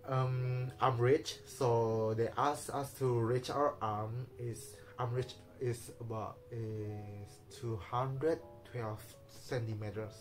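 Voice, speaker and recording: A man's voice: mid-range voice, low-quality recording. Guy telling his story on camera, english isn't his first language, stops and starts a lot, bit of music underneath. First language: Indonesian. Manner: halting non-native English with many fillers and restarts, soft nervous laughs. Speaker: vlogger. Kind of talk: casual personal vlog talk.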